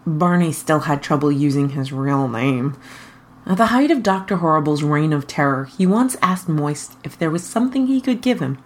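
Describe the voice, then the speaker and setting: A woman's voice: low-pitched, lively intonation, normal speed, a little mellow. A woman reading a scripted story aloud as a fan fiction narrator. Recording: faint noise behind the voice.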